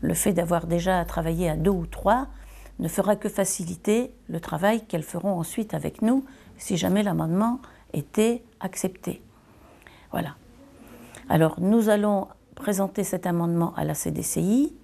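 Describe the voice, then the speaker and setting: A woman giving a semi-improvised political statement as a local elected official, deliberate and hesitant, repeating phrases for emphasis. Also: fairly low pitch, vocal fry, warm tone.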